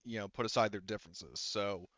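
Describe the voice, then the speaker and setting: A man's voice: lowish voice, fairly quick, a bit bright, no echo, muffled recording. A man running a tabletop game as game master, narrating casually in a rambling, profane, jokey way.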